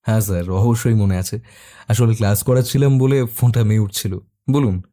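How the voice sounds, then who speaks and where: A man voicing a calm, courteous private detective in an audio story, measured and quietly probing. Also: lowish voice, lightly acted. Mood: polite, focused. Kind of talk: audio story character acting.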